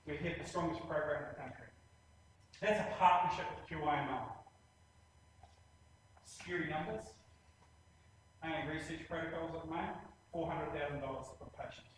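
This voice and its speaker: A man with a New Zealand accent giving a presentation on hospital plans, speaking plainly with many pauses and a habit of saying "actually". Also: a little high, recorded from a distance, a little hiss or hum. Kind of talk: presentation in a lecture series. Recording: very echoey room, voice from afar, faint ambience.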